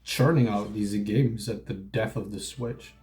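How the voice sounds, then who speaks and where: A man's voice: fairly low pitch, fairly even intonation, measured pace, a little mellow. Sick-sounding man reacting casually. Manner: rambling, offhand, sometimes wry. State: sick, sniffling. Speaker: gaming reaction streamer. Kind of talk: casual live reaction chat. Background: faint trailer music.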